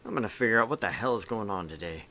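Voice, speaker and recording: A man's voice: on the low side, lively intonation, racing, sharp tone. A man voicing a bewildered young male student in a visual novel game, muttering in exasperation. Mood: exasperated.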